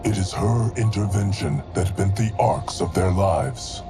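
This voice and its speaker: An actor playing a grave, ancient male keeper of time in a game cutscene. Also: low-pitched. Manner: grave, deliberate. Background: orchestral game music.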